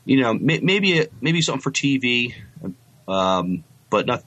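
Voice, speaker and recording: A man co-hosting a podcast, chatting casually and reading comments with halting, hesitant phrasing. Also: mid-range voice, big pitch swings, fairly bright tone, dull audio. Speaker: podcast co-host.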